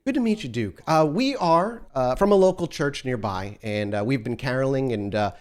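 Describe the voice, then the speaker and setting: A man's voice: medium pitch, animated, moderate pace, darkish tone, lightly acted. A man voicing a smiling, overly friendly young male church caroler who stumbles over his words. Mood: eager friendly. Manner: eager, ingratiating, stumbling.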